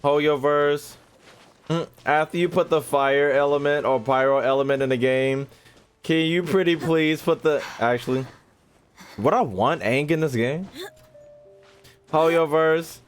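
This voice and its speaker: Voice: mid-range voice, measured pace, pauses often, clear, resonant tone. A gaming commentator, reacting in casual slang with joking exclamations. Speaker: gaming video creator reacting to gameplay. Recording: game music behind the voice.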